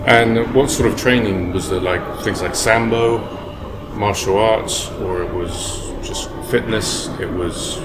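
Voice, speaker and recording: A man's voice: lowish voice, takes it slowly. A man hosting an interview on a sofa in a big indoor hall, asking friendly, direct questions. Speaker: interviewer and channel host. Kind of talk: casual interview. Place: large bright indoor hall, seated on a sofa. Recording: noisy room with air conditioning.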